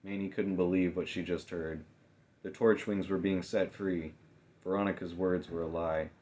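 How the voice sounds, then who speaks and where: A man's voice: deep voice, steady tone, normal speed, dark tone. A man narrating a fantasy novel as a scripted, dramatic audiobook reading. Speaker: author narrating own novel.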